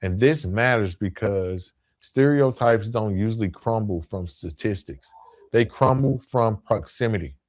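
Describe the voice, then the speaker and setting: A man hosting a podcast, giving a semi-scripted motivational monologue in an earnest, emphatic way with repeated phrasing for effect. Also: fairly low pitch. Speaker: podcast host.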